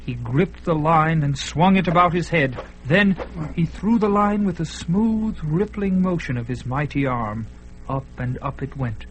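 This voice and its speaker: A man's voice: a little high, slight background noise, rough recording. Male radio-drama narrator building suspense. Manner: tense, building suspense with hushed and rising passages.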